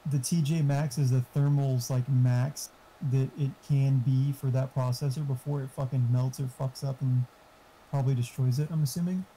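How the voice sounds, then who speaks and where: Man, mellow tone, casual tech advice, explanatory, blunt and sweary, noisy voice-chat recording. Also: medium pitch, steady tone, normal speed. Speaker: friend giving tech advice on a voice call. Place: online voice chat.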